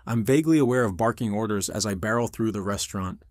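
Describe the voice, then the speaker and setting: Man acting a low, anguished restaurant boss narrating. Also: quick pace, a little mellow, dry recording, studio quality, lightly acted. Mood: urgent, desperate. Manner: intense, earnest, literary storytelling. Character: anguished army veteran restaurant boss, narrating his own story. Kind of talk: dramatic first-person scripted narration.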